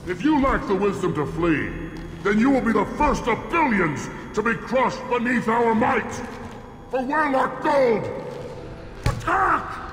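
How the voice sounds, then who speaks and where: An actor playing a boastful, bloodthirsty alien clan warrior in a video game, declaiming grandiose threats. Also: male voice, very high-pitched, lively intonation, a little slow, a bit bright, a little hiss or hum, full character voice. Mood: boastful, threatening. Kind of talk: video game villain acting. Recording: echoey hall reverb, faint music.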